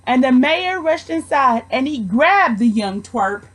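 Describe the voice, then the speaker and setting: A young woman with a high voice reads a children's story aloud. Her delivery is slow and expressive, following the rhymes. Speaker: storybook reader, education student.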